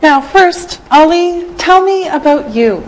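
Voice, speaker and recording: An older woman from Canada teaching English on a studio set with a lapel mic, dictating words slowly and clearly. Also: sits high, expressive, a little hiss or hum, poor audio. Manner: slow, clearly enunciated dictation. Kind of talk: slow scripted classroom teaching.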